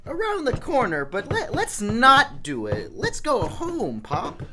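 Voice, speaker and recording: Casting: woman as naive teenage boy, worried stammerer, very animated intonation, slow delivery, radio comedy. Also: sits high, crowd noise, full character voice. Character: earnest, nervous, naive teenage son. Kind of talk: live old-time radio comedy acting. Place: radio studio, live performance.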